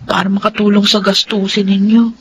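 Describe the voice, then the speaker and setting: An actress playing a hardworking, resilient young Filipina student in a radio drama, earnest and plainspoken. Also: female voice, mid-range voice, level tone, fairly quick, a little hiss or hum, close to an everyday voice, rough recording. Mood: frustrated, pleading. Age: young adult.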